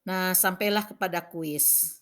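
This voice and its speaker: A woman, a teacher, narrating a scripted lesson and reading out points in short, measured, instructional phrases. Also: lowish voice, fairly bright tone. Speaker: vocational school cooking teacher.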